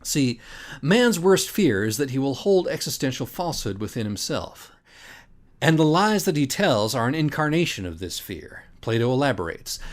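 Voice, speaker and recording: A man acting out an obsessive young lecturer in a dramatic comic monologue. His pitch is ordinary for a man but swings widely as he drives home pedantic points, then drops into sardonic, muttered asides. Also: natural voice. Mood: impassioned, insistent.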